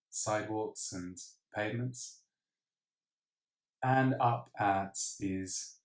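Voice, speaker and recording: A man leading a guided meditation in a measured, reflective way with pauses. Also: sits low. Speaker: meditation teacher. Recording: roomy, echoing sound.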